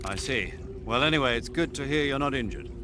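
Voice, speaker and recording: Man as an animated game commanding officer. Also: mid-range voice, a little fast, noisy background, lightly acted. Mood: relieved, commanding. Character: commanding male military officer giving radio orders. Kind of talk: video game voice acting.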